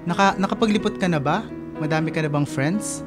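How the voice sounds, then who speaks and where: Radio drama: actor as young male best friend and boyfriend, joking, coaxing, expressive, clear music bed. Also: fairly high pitch, fairly quick, natural voice. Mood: persuasive. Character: playful, teasing young boyfriend and loyal best buddy.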